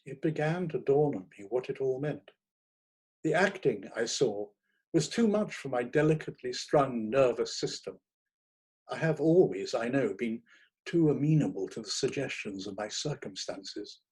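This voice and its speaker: A man narrating a first-person short story in a theatrical, expressive manner, savouring his words. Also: mid-range voice, moderate pace, slightly rough, low-quality recording. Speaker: audiobook narrator.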